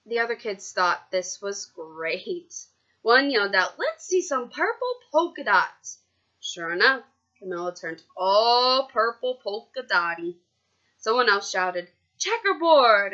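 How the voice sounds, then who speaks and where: A woman reading a children's picture book aloud in an expressive, informal way, with casual asides. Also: mid-range voice, unhurried, muffled recording. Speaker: storybook reader.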